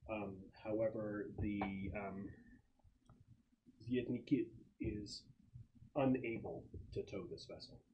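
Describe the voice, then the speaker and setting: A man's voice: on the low side, steady tone, takes it slowly, pauses often. A man narrating a tabletop game casually as game master, slipping in and out of character and swearing now and then. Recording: muffled, hissy room recording with clicks.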